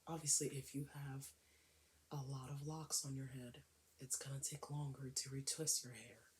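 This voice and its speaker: A woman with a raspy, cold-worn voice chatting casually to camera in a hair vlog, often dropping into a whisper. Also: extremely low pitch, little pitch movement, moderate pace, a little mellow, slight background noise. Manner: chatty, emphatic, with frequent whispery stretches. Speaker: hair vlogger.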